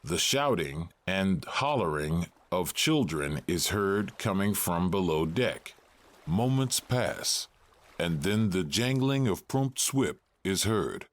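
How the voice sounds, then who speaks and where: A man's voice: deep voice, expressive, slow pace. A man narrating stage directions for a scripted audio drama.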